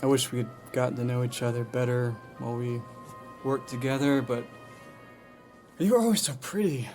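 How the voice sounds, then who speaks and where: A creepy, adoring coworker played by an actor in a podcast comedy-drama. The delivery is halting, awkward and meekly over-earnest. Faint music sits behind the voice. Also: mid-range voice, darkish tone, lightly acted. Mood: meek, fawning.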